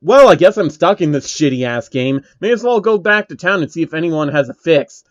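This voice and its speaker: Guy doing a cocky whiny streamer dude, high voice, complains about everything, swoops around, lo-fi mic. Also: animated, normal speed, no echo, close to an everyday voice. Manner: complaining, indignant outbursts. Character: whiny, cocky, hot-tempered male game streamer.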